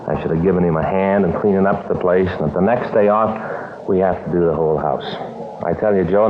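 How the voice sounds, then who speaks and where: Man playing grumbly american cop, folksy and put-upon, low voice, muffled old radio with lots of hiss. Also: lightly acted. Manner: folksy, complaining, chatty. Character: grumbling, put-upon married male police detective partner. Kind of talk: 1950s radio drama acting.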